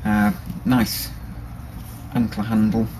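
Man, low, level delivery, casual and hesitant, workshop camera mic. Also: takes it slowly, a little hiss or hum, low-quality recording. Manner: relaxed, hesitant with many ums and restarts. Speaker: bushcraft hobbyist showing a knife. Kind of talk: casual show-and-tell. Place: workshop with a grinder and workbench.

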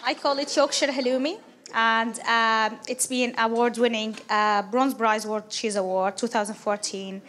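A woman in her thirties from Syria answers questions unprepared on a handheld microphone, standing among seated guests in a big hall. She speaks softly and haltingly, with frequent fillers. A faint crowd murmur surrounds her. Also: a little high, fairly even intonation, slight background noise. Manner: hesitant, soft-spoken, many fillers. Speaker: guest picked from the audience. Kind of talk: unscripted answers in front of an audience.

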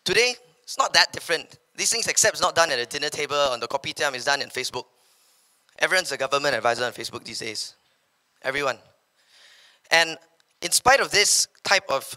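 Bright-voiced Malaysian man on stage. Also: fairly high pitch. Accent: Malaysian English. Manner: energetic, paces the stage, slips into local slang when quoting others. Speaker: keynote speaker. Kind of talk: motivational stage talk. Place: large hall with a stage. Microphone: handheld microphone.

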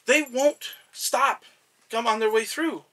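A man voicing a small, devoted male shrine god who talks in an anxious, excitable, affectionate way. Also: very high voice, slow, pitched above the performer's own voice, heavily acted. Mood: urgent.

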